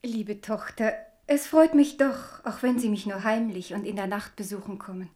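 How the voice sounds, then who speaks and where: A woman's voice: mid-range voice, normal speed, darkish tone, lightly acted. An actress in a radio drama playing a pious, motherly older Protestant noblewoman with an earnest, fervent, formal manner. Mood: tender, concerned.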